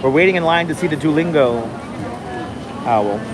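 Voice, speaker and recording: A man hosting a casual live stream, chatting calmly and enunciating clearly while he waits in line outdoors. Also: on the high side, normal speed. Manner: calm, enunciated, chatty with viewers. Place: outdoors on a city street waiting in line. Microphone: phone held for a live stream. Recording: noisy outdoor phone stream. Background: city traffic and street noise.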